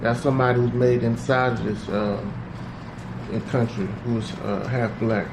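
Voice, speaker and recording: A man's voice: mid-range voice, level tone, moderate pace. A man in a casual podcast chat, talking in a laid-back way and often saying "you know what I'm saying". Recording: echoey room with loud background noise.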